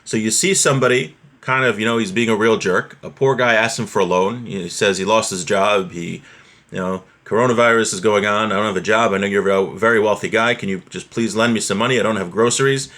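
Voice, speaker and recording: A man's voice: lowish voice, fairly quick, fairly bright tone. Man teaching religious text informally. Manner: conversational, explains with everyday examples and check-in questions. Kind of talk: informal lesson reading Hebrew text and explaining it.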